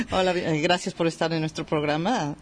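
A woman's voice: on the low side, moderate pace, slight background noise. A woman co-hosting a radio talk show, laughing and tripping over her words. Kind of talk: casual radio chat.

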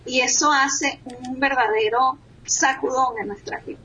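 A woman's voice: high voice, fairly even intonation, unhurried, pushed and bright, highs cut off, a little hiss or hum. A woman from Venezuela, a guest on a talk show, speaking in an earnest, reflective way. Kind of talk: radio talk show interview.

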